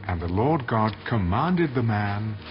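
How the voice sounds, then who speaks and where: A man narrating dramatized scripture in a solemn, measured manner. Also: lowish voice, very animated. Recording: dull audio under loud music.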